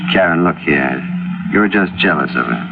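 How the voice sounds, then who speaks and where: A stern, exasperated husband in an old radio drama. He is commanding, and his pitch swings widely. The recording is tinny, with clear noise behind it. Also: animated, normal speed.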